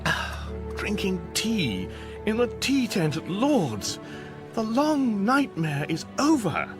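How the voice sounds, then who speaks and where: Flustered Englishman, high, swooping voice. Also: huge pitch swings, slow pace, music in the background, heavily acted. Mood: relieved, defiant. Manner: flustered, pedantic, plaintive. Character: bewildered, fussy, indignant English everyman stranded among aliens. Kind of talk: radio comedy drama acting.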